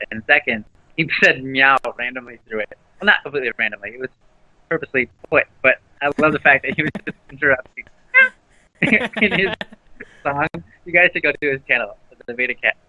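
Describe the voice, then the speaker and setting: A man co-hosting a livestream, chatting casually with lots of fillers and restarts about a naturally low voice. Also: sharp tone, no room sound, highs cut off. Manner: rambling, with many fillers and restarts. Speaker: livestream co-host.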